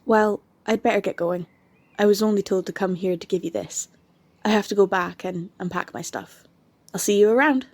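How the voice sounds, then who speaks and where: A woman's voice: medium pitch, normal speed, darkish tone, no echo, natural voice. A woman playing a sweet young vampire girl. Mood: friendly. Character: young vampire woman, friendly new neighbor. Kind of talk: ASMR audio drama.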